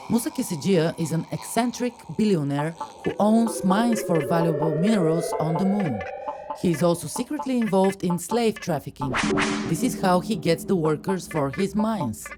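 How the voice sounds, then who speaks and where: Low-voiced woman narrator over loud music. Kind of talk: scripted narration introducing story characters.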